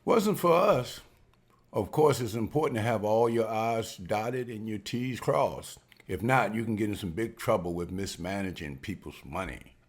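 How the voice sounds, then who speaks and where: A man's voice: lowish voice, moderate pace. A man reading the part of a genial, chatty businessman in an amateur table read. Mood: friendly. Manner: folksy, chatty, lively. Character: genial older businessman, banker.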